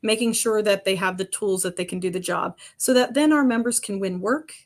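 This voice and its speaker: A woman's voice: mid-range voice, brisk. A woman guest on a construction podcast, with a commanding voice, speaking emphatically and laughing lightly between points. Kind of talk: podcast interview answers.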